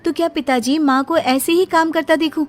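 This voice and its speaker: Woman playing teasing grown daughter, high voice, needling, insistent, exasperated, story acting over faint music. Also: fairly even intonation, moderate pace, lightly acted. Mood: goading. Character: meddling, teasing grown daughter goading her mother. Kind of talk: Hindi moral story acting.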